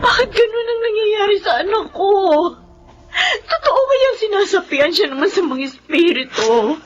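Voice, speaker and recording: A woman's voice: extremely high pitch, lively intonation, moderate pace, highs cut off, soft background music. An actress playing a distraught, pleading mother in a melodramatic radio drama, tearful and quavering. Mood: anguished. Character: distraught, pleading young mother of a stricken boy. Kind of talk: melodramatic Tagalog radio acting.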